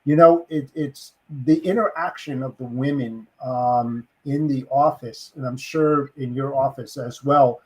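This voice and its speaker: A man hosts a podcast interview in a husky, somewhat breathy voice at a middle pitch. He speaks casually and supportively, often stopping and restarting his words. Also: a little slow, few pauses, rough recording. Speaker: podcast host.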